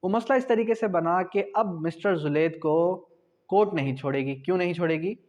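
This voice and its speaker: A man's voice: high-pitched, moderate pace, a little mellow. A man with a Pakistani accent teaching in an explanatory lecture style, repeating key points and posing questions that he answers himself. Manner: didactic, repetitive, asks and answers own questions. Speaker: law lecturer. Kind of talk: explanatory exam-prep lecture, mixing Hindi-Urdu and English.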